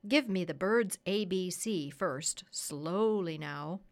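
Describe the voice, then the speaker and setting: A woman voicing a kindly, curious male doctor in a children's story, gentle, polite and earnest. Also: lowish voice, takes it slowly. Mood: excited, curious.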